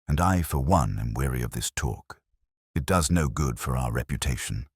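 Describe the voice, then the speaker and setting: A man's voice: rumbling low, animated, moderate pace, breathy edge, no echo, studio quality, pitched below the performer's own voice, exaggerated voice. A man voicing a stiff, indignant older woman who guards the club accounts, speaking in a curt, defensive way.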